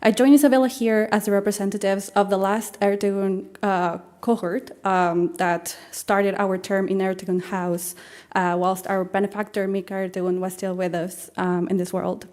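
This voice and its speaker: A young woman with a Mexican accent speaks at a memorial event. Her voice is mellow and set at an ordinary pitch. She talks in a measured, prepared way, with frequent ums and uhs between phrases. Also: level tone. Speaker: scholarship recipient giving a speech. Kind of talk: formal prepared speech.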